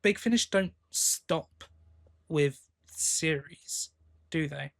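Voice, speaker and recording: A man's voice: fairly high pitch, animated, extremely slow, creaky. A man co-hosting a podcast, chatting casually in a hesitant, rambling way with tag questions. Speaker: podcast co-host. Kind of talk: casual chat about audio drama releases.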